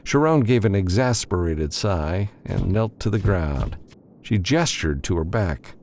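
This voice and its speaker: A man's voice: deep voice, animated, a little slow, a little mellow, slight background noise. A man narrating scripted adventure fiction as an audiobook reader.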